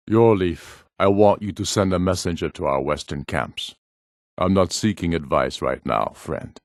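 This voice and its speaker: Deep-voiced commanding jarl. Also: male voice, dry recording, clean studio sound. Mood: grave, businesslike, then stern, impatient. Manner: measured, authoritative, formal. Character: proud, commanding male rebel jarl of a fantasy northern land. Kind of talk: fantasy video game character dialogue.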